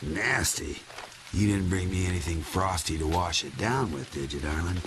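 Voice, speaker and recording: A man playing a gruff, dying, revenge-driven brawler in a video game, with a gravelly whispery growl and a terse, sardonic tough-guy manner. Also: low voice, normal speed, very noisy, lightly acted. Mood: wry, weary. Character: gruff, world-weary, dying male mutant brawler out for revenge. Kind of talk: video game cutscene acting. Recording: loud music over the voice.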